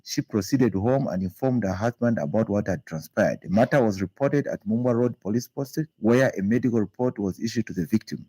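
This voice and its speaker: A Zambian man reads a formal police statement very quickly. His voice is husky and lowish, with a mellow tone, recorded dry and close. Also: no echo, rough recording.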